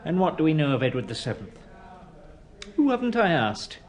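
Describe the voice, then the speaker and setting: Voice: mid-range voice, very animated, moderate pace, crowd noise, lightly acted. A performer in a radio play as a withering, sarcastic English schoolteacher quizzing pupils in a dry manner. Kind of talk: British radio play acting.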